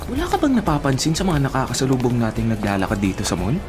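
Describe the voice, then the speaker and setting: A Filipino man acting a sweet, earnest young boyfriend in a radio drama, tender, pleading and expressive. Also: medium pitch, quick pace. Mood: playful, coaxing. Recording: music playing behind the voice.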